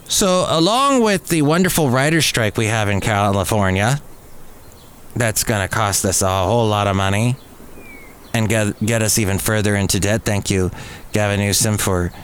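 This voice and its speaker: A middle-aged American man hosting a podcast alone, talking casually in a rambling, jokey way with self-mocking asides. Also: lowish voice. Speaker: podcast host. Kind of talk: casual solo podcast monologue. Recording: clearly audible background noise.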